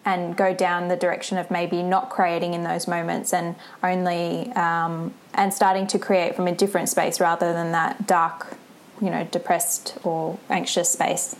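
A woman's voice: fairly low pitch, little pitch movement, a little slow. A woman hosting a podcast, speaking reflectively in an interview conversation.

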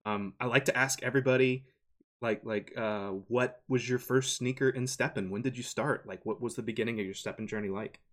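A man hosting a casual community podcast, upbeat and quick with his affirmations. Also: medium pitch, steady tone, fairly bright tone. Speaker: podcast host. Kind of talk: casual chat.

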